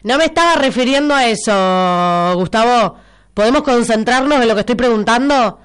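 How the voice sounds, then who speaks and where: An Argentine woman hosting a local radio show in a studio, speaking with a somewhat high, clear, smooth voice despite a stuffy nose from a cold. She talks in a chatty, teasing way and repeats key details for her listeners. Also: lively intonation, moderate pace. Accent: Rioplatense Argentine Spanish. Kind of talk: casual live radio chat with listener messages.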